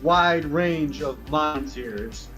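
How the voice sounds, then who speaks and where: A man in his late twenties with a slightly higher voice, chatting in a laid-back, reflective way among friends. Faint music sits underneath. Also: slow pace, fairly bright tone. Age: 20s. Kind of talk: casual group chat.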